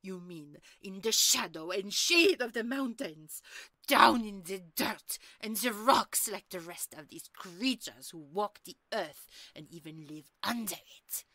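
A woman's voice: mid-range voice, expressive, measured pace, sharp tone, dry recording, heavily acted. A woman playing a proud, haughty griffin queen in a fantasy audio drama, with an imperious, theatrical, scornful manner. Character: proud haughty griffin queen clinging to her gilded palace.

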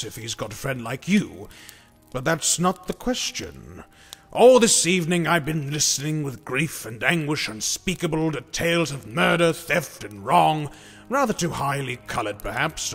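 An actor playing a courteous, earnest knightly saint in an audiobook drama, with formal, theatrical, archaic phrasing. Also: male voice, a little high, vocal fry, a bit bright, breathy edge, full character voice. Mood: genial, then grave. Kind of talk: amateur audiobook drama acting. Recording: long hall reverb, faint music.

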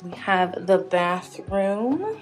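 A woman's voice: medium pitch, sing-song, extremely slow, soft background music. Chatty young woman vlogging a hotel tour. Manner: chatty, listing things offhand. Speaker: travel vlogger. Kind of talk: casual vlog tour. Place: hotel room, indoors. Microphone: phone held at arm's length.

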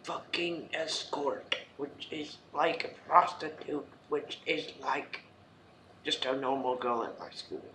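A man's voice: a little high, slow pace. A young man giving casual commentary as he plays a video game. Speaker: let's play gamer. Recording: roomy, noisy home recording.

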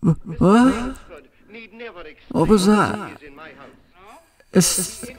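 A man acting a wary, compassionate and determined survivor of a deadly hunt in an audio drama, earnest and halting. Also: sits high, expressive, heavily acted. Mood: wary, anxious.

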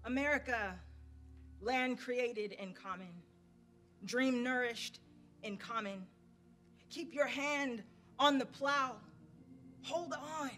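High-voiced woman, very slow recitation. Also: fairly bright tone. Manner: deliberate, emphatic, spaced phrases. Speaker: community reader at a podium. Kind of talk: spoken-word poetry recitation. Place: indoor event room at a podium. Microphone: podium microphone on a stand. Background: faint background music.

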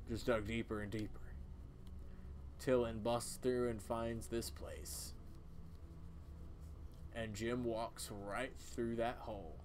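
A man voicing a grim, folksy old cloaked cult spokesman for a video game, telling things haltingly. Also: on the low side, a little hiss or hum, close to an everyday voice.

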